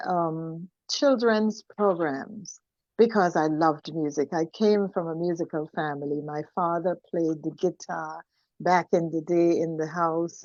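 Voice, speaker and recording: Low-voiced older Trinidadian woman, reminiscing. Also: dry recording, lo-fi sound. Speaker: singer, interview guest. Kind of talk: conversational storytelling about own life.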